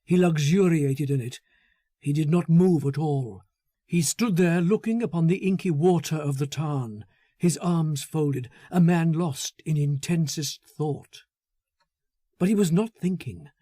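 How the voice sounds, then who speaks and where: Male literary narrator: dark, relaxed timbre, measured dramatic delivery, close dry sound. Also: fairly high pitch, no room sound. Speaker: audiobook narrator. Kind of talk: literary scripted story narration.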